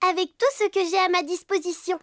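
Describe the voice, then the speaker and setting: A performer playing a bright, eager young animal child in a French cartoon dub, earnest and childlike. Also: very high voice, flat delivery, fast talker, heavy background noise.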